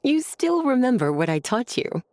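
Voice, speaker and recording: A woman voicing a kind mature teacher who speaks softly and patiently. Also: fairly high pitch, big pitch swings, normal speed, slight background noise. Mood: caring. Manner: soft, patient, caring. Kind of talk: game voice acting.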